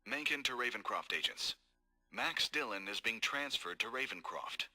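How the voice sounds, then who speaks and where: A man voicing a cold, scheming corporate executive in game audio logs, calm, measured and formal. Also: medium pitch, faint music behind. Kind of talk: recorded game audio log.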